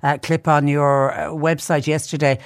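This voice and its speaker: An effusive man hosts a live radio show, his voice dry and close on a studio mic. He rambles warmly, restarting his sentences as he goes. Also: a little high, little pitch movement, normal speed, creaky, smooth, no echo. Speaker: radio host.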